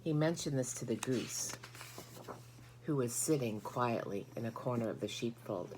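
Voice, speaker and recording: A woman's voice: rumbling low, lively intonation, normal speed, darkish tone, slight background noise, rough recording. A woman narrating a children's story from a book as a storybook reader.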